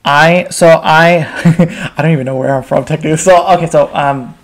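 A man's voice: a little high, fairly even intonation, normal speed. A man in his twenties with an American accent, telling his personal story candidly and informally as an interview guest, often checking in with "right?". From United States, Pakistan, Bangladesh and Qatar. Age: 20s. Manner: informal, uses frequent "like" and "right?" tags.

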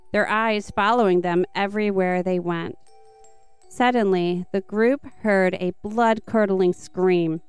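A woman narrates scripted children's stories as a podcast host. Her voice is remarkably crisp and smooth, at a middling pitch. It is recorded very dry, with music underneath. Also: a little mellow, no room sound. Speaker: podcast host and story reader. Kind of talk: scripted read-aloud storytelling for children.

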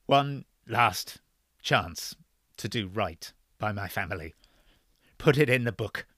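A frail, dying old man, as a male narrator puts him on speaks gruffly and with weary insistence. The voice is slow and dry, with no room around it, in an audiobook-style reading. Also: lowish voice, lively intonation, pushed and bright, dry recording, exaggerated voice. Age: older.

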